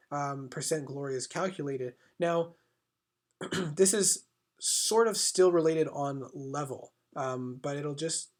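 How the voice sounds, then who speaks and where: A man with a congested voice from a cold, explaining game rule changes in a casual, hesitant way, often clearing his throat. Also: on the high side. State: congested, slightly sick. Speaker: gaming channel host.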